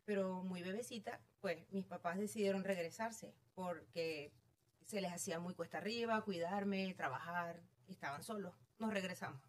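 A middle-aged woman with a Venezuelan accent, a real estate agent guest telling her story in an animated, self-amused way. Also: on the low side, moderate pace. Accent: Venezuelan Spanish. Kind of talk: casual interview chat.

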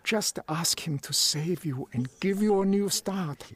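An actor playing a sincere, humble homeless man with a creaky voice, telling his story in a radio drama. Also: high-pitched, unhurried. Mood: urgent, hopeful. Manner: sincere, reflective. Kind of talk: radio drama first-person narration and dialogue. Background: music.

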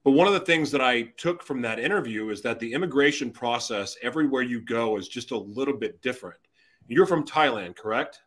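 A man's voice: medium pitch, expressive, a little fast. A man hosting an interview, enthusiastic and effusive with praise. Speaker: interview host. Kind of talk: casual interview chat.

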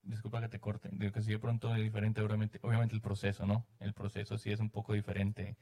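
Casting: male talk show interviewer, mellow and relaxed in tone, conversational, chiming in briefly. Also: lowish voice, quick pace. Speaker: show host interviewing a guest. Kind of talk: conversational real estate interview.

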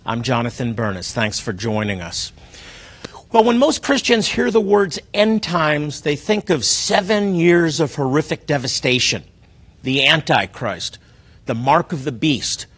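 Slightly husky American male TV host. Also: fairly high pitch, expressive, a little slow, fairly bright tone. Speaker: TV talk show host.

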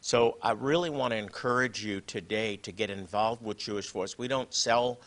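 A man hosting a religious show, teaching conversationally, often halting and repeating words as he explains. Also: fairly low pitch, fairly bright tone.

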